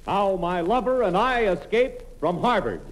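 A man's voice: high voice, lively intonation, moderate pace, loud music behind the voice. A man acting a sensational, declamatory announcer hawking a lurid magazine in an old radio comedy. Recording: very noisy old radio recording.

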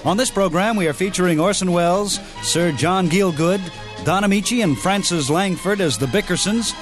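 A man's voice: sits high, moderate pace, soft background music. A man hosting a radio program, announcing from a script in a polished, formal way.

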